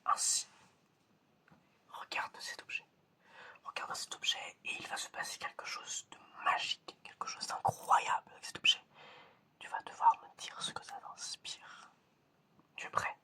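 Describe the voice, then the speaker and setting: A man whispers slowly in an ASMR roleplay, his breath sharp and bright. He speaks straight to the listener in a repetitive, deliberate way, with no real voicing. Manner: slow, repetitive, addressing the listener directly. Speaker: ASMR video creator playing an examiner.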